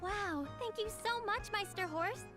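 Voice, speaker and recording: A woman playing an earnest, excitable teenage novice alchemist in an anime game, hesitant and easily startled. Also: very high voice, a little slow. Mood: polite, cheerful. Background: game music.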